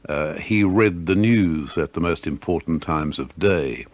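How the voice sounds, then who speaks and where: A man's voice: a bass voice, a little slow, no room sound. An older man, a radio veteran reminiscing in an interview and often rephrasing as he goes. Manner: hesitant, self-qualifying phrasing. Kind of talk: reflective interview talk.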